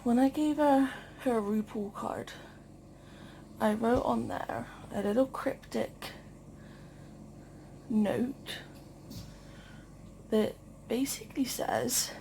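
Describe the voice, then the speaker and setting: A woman hosting a casual vlog, chatting informally and haltingly to the camera. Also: on the high side, vocal fry, a little mellow, slight background noise. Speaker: vlog host.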